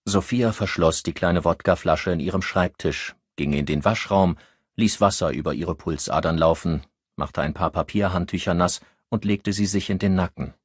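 A man reading scripted crime fiction as an audiobook narrator, in an expressive storytelling manner. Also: low voice, normal speed, breathy edge, no echo, clean studio sound.

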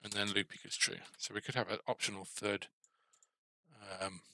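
A middle-aged man with a low voice and a gravelly creak talks casually as he works at a computer. His delivery is bright and musing, like someone thinking aloud. Keyboard clicks sit behind him. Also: fairly even intonation, normal speed. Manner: musing, asks himself questions. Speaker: game developer presenting a coding series. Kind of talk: thinking aloud while coding, casual tutorial.

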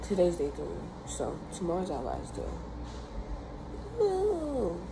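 A young woman talks into a phone held close in a small dorm room. Her voice is hoarse and gravelly, worn down from shouting, and she sounds exhausted but keeps chatting. The recording is noisy and unpolished. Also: lowish voice, huge pitch swings, takes it slowly. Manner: chatty, rambling, slangy. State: very tired. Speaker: vlogger at cheer camp. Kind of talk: casual selfie vlog chat. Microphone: phone held close at arm's length.

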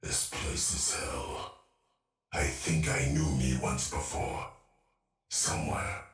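An actor playing a vengeful, paranoid cyborg assassin in a video game, menacing and unhinged, muttering through robotic processing. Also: male voice, extremely low pitch, little pitch movement, takes it slowly, vocal fry, a bit bright, full character voice. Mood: haunted, confused. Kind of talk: video game character voice lines. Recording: roomy, distant, music behind.

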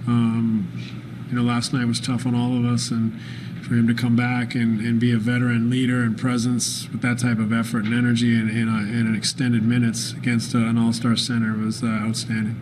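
A man in his forties, a basketball head coach answering postgame press questions in a calm, thoughtful way, often pausing with fillers and restarting phrases. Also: medium pitch, noisy background. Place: press room with sponsor backdrop, indoors. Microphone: desk microphone at arm's length.

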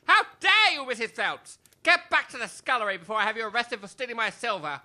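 An actor playing a pompous, bad-tempered retired army major with an English accent, blustering and barking orders in a comic audio drama. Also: male voice, extremely high pitch, animated, a little fast, pushed and bright, heavily acted. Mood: outraged.

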